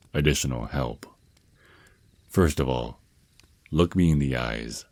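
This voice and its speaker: A man's voice: very deep voice, darkish tone, no room sound, full character voice. A man voicing an affectionate, protective vampire naga boyfriend in an intimate ASMR roleplay, mentoring his partner with slightly formal wording and small stammers. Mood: tender, explanatory, then admiring, instructive. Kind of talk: ASMR roleplay, intimate one-sided scripted dialogue.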